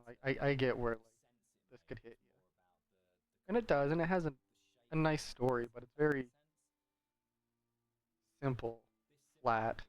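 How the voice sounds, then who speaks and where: A man's voice: medium pitch, clear, resonant tone, no echo, lo-fi sound. A man giving casual, rambling commentary over a video game in a conversational, hedging way. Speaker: gameplay commentator.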